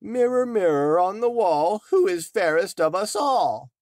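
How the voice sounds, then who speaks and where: A man voicing a wicked, envious queen disguised as an old farmer's wife, loud and drawn out, in a storybook reading. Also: high voice, big pitch swings, unhurried, dry recording, studio quality, pitched above the performer's own voice. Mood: gloating.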